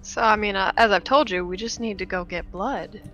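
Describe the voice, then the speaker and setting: A blunt, flippant female vampire voiced by a woman playing a tabletop game. Her voice is crisp and bright at an ordinary pitch, delivered matter-of-factly over background music. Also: brisk, close to an everyday voice. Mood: nonchalant. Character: blunt, nonchalant female vampire urging others to feed.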